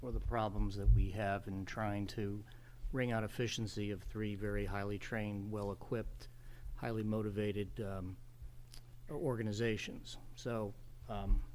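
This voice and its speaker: A man giving unscripted committee remarks at a public meeting, halting, with repeated words and many ums. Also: on the low side, level tone, a little mellow, slight background noise. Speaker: township committee member.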